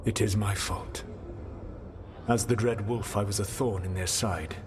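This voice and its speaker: An actor playing an ancient elven god in a video game, speaking in a grave, formal and measured way with a learned air. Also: male voice, on the low side, steady tone, noisy background, lightly acted. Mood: grave regret. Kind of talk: dramatic video game dialogue.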